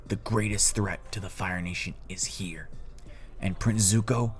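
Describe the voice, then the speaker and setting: A man voicing a cold, scheming middle-aged tyrant king in a fan story, speaking slowly and gravely in a hushed, breathy murmur. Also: sits low, steady tone, faint music behind. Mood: grave, commanding. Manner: slow, grave, menacing, deliberate pauses.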